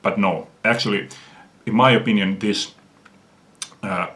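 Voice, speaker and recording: Finnish guy with a deep voice, slow earnest vlog talk, lots of uh's. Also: fairly even intonation, fairly bright tone. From Finland. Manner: earnest, unhurried, frequent "uh" pauses. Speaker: music fan vlogger. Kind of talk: casual video response.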